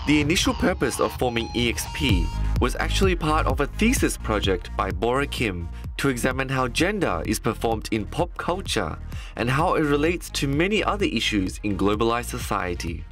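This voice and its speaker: Lively male narrator over music. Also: medium pitch, moderate pace. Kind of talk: scripted narration. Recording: music playing underneath.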